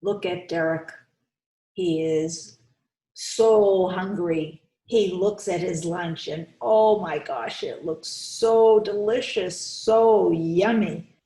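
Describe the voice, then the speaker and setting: Unhurried woman reading to children, roomy echo. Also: on the low side. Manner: expressive, coaxing, emphatic, pauses to question listeners. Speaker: children's author reading aloud. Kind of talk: interactive storybook read-aloud for young children. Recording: roomy, echoey sound.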